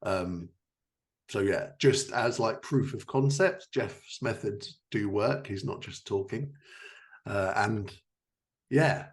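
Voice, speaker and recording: Low-pitched man, casual podcast host. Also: slow. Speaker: podcast host, fiction writer. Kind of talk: casual interview chat, anecdotal.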